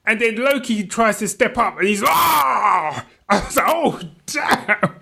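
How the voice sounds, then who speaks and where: A man with a bright, forceful, slightly high voice chats as a podcast co-host. His delivery is casual and rambling, full of conversational asides. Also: lively intonation, takes it slowly, creaky, slightly rough. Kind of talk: casual film chat.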